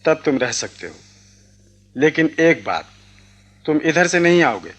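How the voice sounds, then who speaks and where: A man's voice: on the high side, big pitch swings, unhurried. A middle-aged actor playing a stern, condescending well-off father in a radio play, speaking in a curt manner. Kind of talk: Hindi radio play acting.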